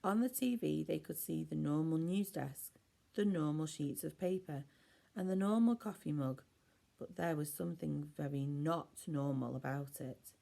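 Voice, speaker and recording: A woman's voice: sits low, normal speed, creaky, warm tone. A woman reading a children's storybook aloud expressively, as a teacher does for a class.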